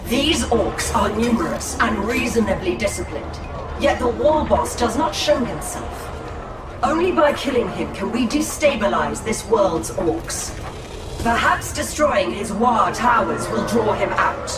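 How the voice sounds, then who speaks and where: A woman playing a composed, calculating alien elf seer and commander in a video game, speaking gravely and formally. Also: a little high, expressive, a little slow. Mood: grave, determined. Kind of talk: video game cinematic acting. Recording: echoey, distant, music behind.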